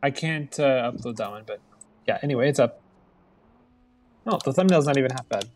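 A man chatting casually with friends in voice chat while racing a map he made, laughing and talking quickly. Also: medium pitch, a little mellow. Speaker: map maker and player.

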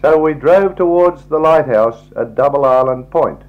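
A man's voice: fairly high pitch, normal speed. Australian man narrating on dull vintage tape. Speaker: slideshow narrator. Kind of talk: 1960s scripted travelogue narration. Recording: dull, lo-fi 1960s tape recording.

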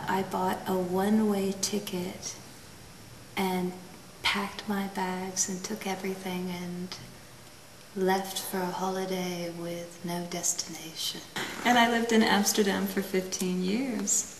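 Slow, musing woman in an echoey studio. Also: medium pitch, flat delivery, slight background noise. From United States. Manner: musing and unhurried, laughs often. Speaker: painter. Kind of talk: relaxed interview talk. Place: her studio. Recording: echoey studio, mic far off.

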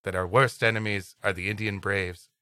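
Male podcast co-host, playful story reader, bright and animated, dry recording. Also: on the low side, moderate pace, clear, resonant tone, dry recording. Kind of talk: reading an old adventure story aloud with comic banter.

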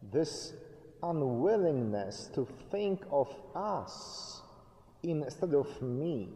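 A middle-aged pastor delivers a sermon at a very slow, measured pace, his dark, mellow voice rising and falling in lively swings. He pauses often for emphasis, and his words ring out through a long church reverb. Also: on the high side, a little hiss or hum. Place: church sanctuary, indoors, at a lectern. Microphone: fixed camera at a distance.

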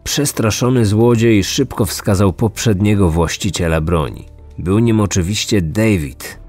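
A man's voice: lowish voice, measured pace, darkish tone, soft background music. A man delivering scripted true crime documentary narration as a podcast narrator.

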